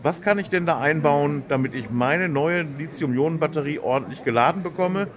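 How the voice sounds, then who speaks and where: A man's voice: on the high side, fairly quick. A man hosting a casual interview at a trade fair, chatty and friendly with lots of agreeing. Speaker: host. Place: exhibition hall.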